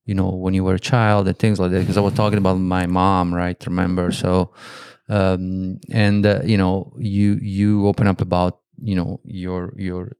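A man with an Italian accent talks in a fairly low voice, rambling along in relaxed, loose sentences. The recording is dry and close, with no room sound. He sounds like a friend chatting on a podcast. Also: normal speed, few pauses, clear, resonant tone, dry recording. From Italy. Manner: loose, rambling sentences full of "you know". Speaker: guest interviewer. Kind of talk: casual conversation.

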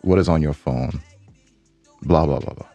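A man's voice: a bass voice, normal speed, dark tone, dull audio, faint music behind. A man co-hosting a podcast with casual, slangy, mock-defensive banter, often repeating himself. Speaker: podcast co-host.

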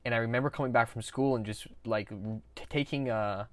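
A man hosting a podcast, talking candidly and quietly, with frequent stuttered repetitions. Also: mid-range voice, fairly even intonation, fairly quick, dry recording. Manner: stutters with sound repetitions, soft and confessional. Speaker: podcast host.

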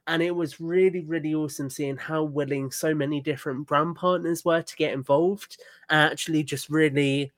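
A man's voice: on the high side, fairly even intonation, moderate pace, no room sound, studio quality. A man chatting casually and earnestly as a podcast guest, effusive in how he talks.

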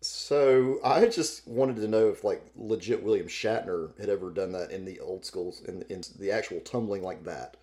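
A man's voice: deep voice, normal speed, hardly any pauses, warm tone. A man co-hosting a podcast in casual, comedic fan chat, joking in a wry, offhand way. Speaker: podcast co-host.

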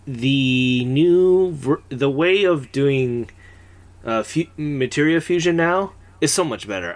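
A man's voice: mid-range voice, a bit bright. A man co-hosting a podcast in casual chat, talking eagerly with frequent stammered restarts. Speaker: podcast co-host.

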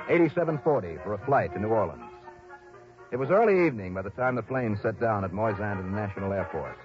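An actor playing a wry, brisk insurance investigator in a 1960s radio drama, narrating with punchy, clipped emphasis. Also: male voice, on the low side, close to an everyday voice. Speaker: lead actor and narrator of a radio detective series. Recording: dull old radio broadcast with music.

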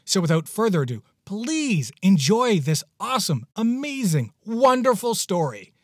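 A man's voice: high voice, big pitch swings, measured pace, no echo, clean studio sound. A man hosting a podcast, giving a casual introduction in a playful, emphatic, exclamatory manner. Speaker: podcast host.

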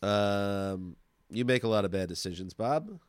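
A man chatting casually and enthusiastically as co-host of a music podcast, informal and quick to swear. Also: lowish voice, lively intonation, dry recording, clean studio sound. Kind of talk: casual chat. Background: pop-rock songs playing.

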